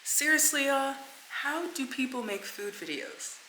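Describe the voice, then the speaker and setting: Woman, 30s, chatty vlogger voice, bright and forward, light background hiss. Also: sits high, expressive, measured pace, poor audio. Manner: chatty, addresses viewers as y'all and folks. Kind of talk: casual vlog chat to camera. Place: home kitchen, then a car.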